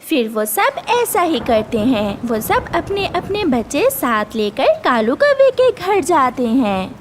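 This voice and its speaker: A woman's voice: sits high, very animated, slow, noisy background. A woman narrating a scripted children's story as its storyteller.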